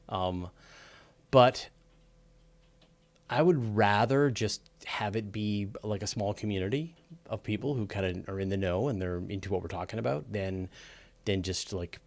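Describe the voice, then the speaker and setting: Male host, lowish voice, informal explainer delivery for a live astronomy Q&A, conversational. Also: vocal fry, a little mellow. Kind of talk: casual live explainer talk answering questions.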